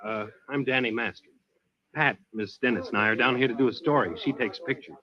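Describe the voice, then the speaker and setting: Magazine reporter, male actor: mid-pitched, friendly, eager to please; muffled radio drama. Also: fairly quick, close to an everyday voice. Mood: deferential. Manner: apologetic, friendly. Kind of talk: old-time radio adventure acting. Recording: muffled vintage radio recording.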